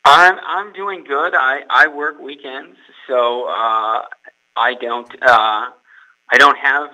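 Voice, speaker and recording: A man's voice: mid-range voice, slow pace, pushed and bright, low-quality recording. Hesitant young man from California. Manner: hesitant, repeats words. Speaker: guest. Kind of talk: casual podcast interview.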